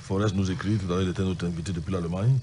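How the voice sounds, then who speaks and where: Deep-voiced man, sick pastor hosting radio. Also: fairly even intonation, rapid-fire, warm tone, slight background noise. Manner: conversational, reads out phone numbers and listener messages.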